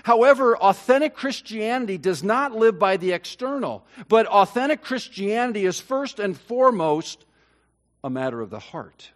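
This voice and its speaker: Earnest pastor preaching, slightly high voice. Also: male voice, big pitch swings. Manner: earnest, rising to emphatic peaks; halting, with many fillers and restarts. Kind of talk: sermon.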